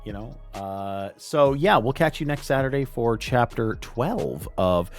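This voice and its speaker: A man's voice: mid-range voice, animated, measured pace, soft background music. A man, the game master, wrapping up a stream in an exuberant, swearing, casual way. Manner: exuberant, swearing, loud call-outs.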